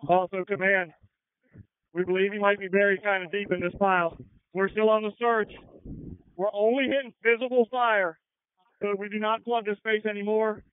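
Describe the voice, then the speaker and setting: Male fire chief on a phone-like radio channel, high voice, urgent and procedural. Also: steady tone, normal speed, clear, resonant tone, no room sound. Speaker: fire battalion chief at an incident. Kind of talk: terse emergency radio traffic. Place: at a fire and collapse scene. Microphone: two-way radio.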